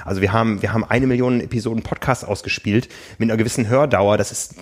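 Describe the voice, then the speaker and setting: A man hosting a podcast, talking casually and thoughtfully, often pausing with fillers. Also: on the low side, steady tone. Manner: thoughtful, hesitant with many fillers. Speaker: podcast host and magazine editor.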